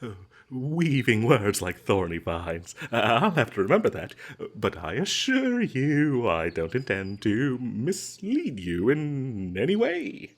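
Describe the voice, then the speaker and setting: A man playing a smooth-talking captured adventurer in a comedic fantasy audio roleplay, stammering and flattering with flowery politeness. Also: mid-range voice, slow pace, few pauses, heavily acted. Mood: glib, evasive. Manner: hesitant, stammering, ingratiating, flowery and polite phrasing.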